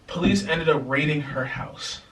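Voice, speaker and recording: A young man co-hosting a podcast, telling a story in a casual, animated way, laughing and acting out other people's lines. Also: fairly high pitch, unhurried. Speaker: podcast co-host. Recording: echoey room, mic a bit far.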